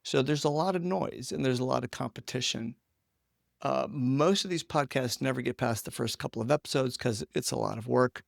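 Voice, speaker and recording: A man's voice: medium pitch, moderate pace, gravelly, no echo, studio quality. A man hosting an interview in a conversational style, putting questions to a guest. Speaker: interview host.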